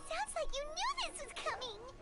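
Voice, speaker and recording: A woman voicing a tiny, chirpy, eager female fairy companion in a video game, with an excitable, bossy manner. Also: normal speed. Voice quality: squeaky, childlike. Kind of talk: game voice acting. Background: game music.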